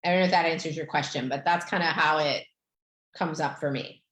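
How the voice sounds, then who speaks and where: A woman's voice: deep voice, a little fast. Romanian-born woman coaching in an echoey room. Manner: gentle, many fillers like "um" and "like". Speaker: podcast host, therapist. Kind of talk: guiding an anxiety tapping exercise.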